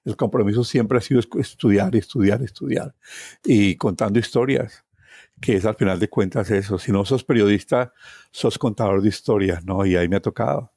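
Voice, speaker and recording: Low-voiced Colombian man telling stories. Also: fairly quick, gravelly, mellow, dry recording, clean studio sound. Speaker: interview guest, tour guide. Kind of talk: personal storytelling.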